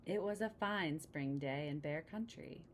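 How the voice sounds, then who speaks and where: Low-voiced woman reading a picture book aloud. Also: a little slow, slight background noise. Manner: conversational, explains pictures to young listeners. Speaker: read-aloud storyteller. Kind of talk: picture-book read-aloud with casual asides.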